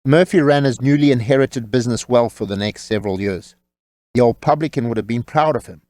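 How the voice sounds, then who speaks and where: A man narrating a scripted audiobook story in a measured, wry storytelling manner. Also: medium pitch, darkish tone, dry recording.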